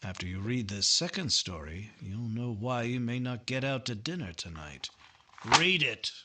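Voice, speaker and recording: A calm but insistent district attorney, played by a man in a vintage radio crime drama. He is deliberate and authoritative, with a bright, forceful tone and animated intonation. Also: medium pitch, slight background noise. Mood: firm, insistent. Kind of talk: 1950s radio drama acting.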